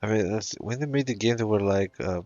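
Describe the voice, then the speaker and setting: A man's voice: on the low side, a little fast, darkish tone. A man giving casual game commentary as a streamer, joking and sardonic. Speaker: gaming streamer. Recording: dull, echoey room recording.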